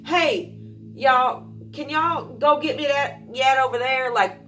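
A woman voicing a loud, friendly Southern woman with a Southern accent and a drawn-out drawl. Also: sits high, far from the mic, faint music behind, full character voice. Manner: drawn-out drawl, calling everyone y'all.

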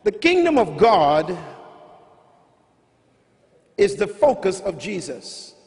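A man's voice: sits high, sing-song, slow pace, gravelly, a little mellow. A middle-aged man with a Bahamian accent preaching a sermon on a large arena stage with a handheld mic, teaching emphatically and repeating key lines. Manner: emphatic, repetitive teaching with rising calls. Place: large arena stage before a big audience. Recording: large hall with long reverb.

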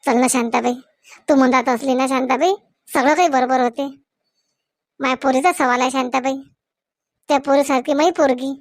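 Apologetic cartoon mother played by a woman, high pitch, beseeching, rural Maharashtrian accent, close dry sound. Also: fairly even intonation, moderate pace, long pauses, no echo, lightly acted. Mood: coaxing. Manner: beseeching, repetitive. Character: pleading, apologetic village mother, anxious to please. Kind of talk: Marathi comedy cartoon dialogue.

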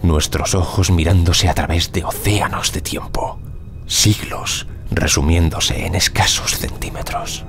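Awed archaeologist in audio drama, deep voice, measured n solemn, music behind him. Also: male voice, fairly even intonation, vocal fry, whispered. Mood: solemn, moved. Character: Howard Carter, English archaeologist, awed, intense, reflective. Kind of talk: audio drama acting with reflective narration.

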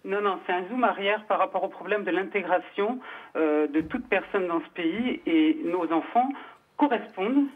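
A woman's voice: on the low side, moderate pace. A woman calling a radio show by phone to give her opinion, emphatic and repeating her phrases. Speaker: phone-in caller. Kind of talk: spontaneous opinion on live radio. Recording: narrowband phone line.

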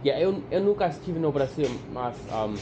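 Young American man, halting, noisy recording. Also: fairly high pitch, animated, muffled recording. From United States. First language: English. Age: young adult. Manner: halting, searching for words. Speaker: vlogger, beginner Portuguese learner. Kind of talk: casual chat in halting beginner Portuguese. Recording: noisy ambience, action-camera and video-call sound.

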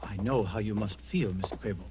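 An actor playing an authoritative doctor, measured and formal, in a 1940s radio drama. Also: male voice, fairly low pitch, slight background noise, lightly acted. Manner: measured, formal, hesitant pauses. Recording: muffled old radio recording.

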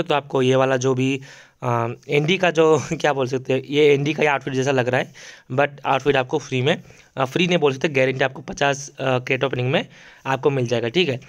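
A man's voice: medium pitch, fairly even intonation, a little fast. Man, casual gaming YouTuber. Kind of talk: casual screen-recorded game update commentary. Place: voice-over on mobile game screen recording.

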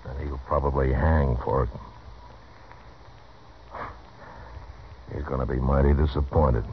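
A stern American lawman of the Old West with a very deep, grave voice. He speaks in measured, weary lines, as in a 1950s radio western. The recording is muffled, with faint hiss. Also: level tone. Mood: grim. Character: stern, weary frontier marshal. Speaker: actor in a western radio drama.

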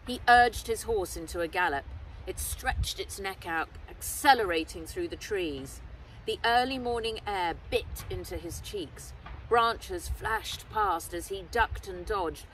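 Middle-aged female storybook narrator, unhurried, recorded outside at a distance with clear ambient noise. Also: medium pitch, sing-song, a bit bright. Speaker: author reading own novel aloud. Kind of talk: expressive scripted storybook narration. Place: outdoors in woodland. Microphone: camera a short distance away. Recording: outdoors, distant mic, clear background noise.

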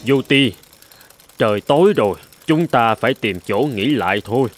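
A man's voice: medium pitch, lively intonation, moderate pace, natural voice. A man voicing an ailing, anxious older father in a cartoon, speaking in a weary, plaintive way. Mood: weary worry. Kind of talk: animated film dubbing. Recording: music clearly behind the voice.

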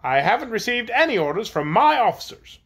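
A young army private from a storybook, played by a woman, courteous yet stubborn. The voice is raised in pitch and speaks plainly and firmly, in a matter-of-fact way. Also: sing-song, brisk, a bit bright, breathy edge, exaggerated voice. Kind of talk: storybook character voice.

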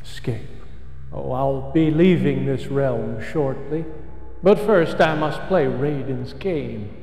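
An actor playing a taunting, sly old sorcerer with a theatrical, unhurried delivery. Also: male voice, medium pitch, gravelly, mellow. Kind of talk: theatrical villain acting. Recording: echoey hall, faint music.

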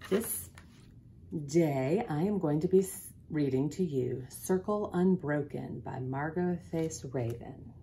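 A woman in her forties reading a children's picture book aloud in an expressive, deliberate way, pausing to put questions to listeners. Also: sits low, creaky, a little hiss or hum. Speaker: school principal, guest storybook reader. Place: small office, indoors.

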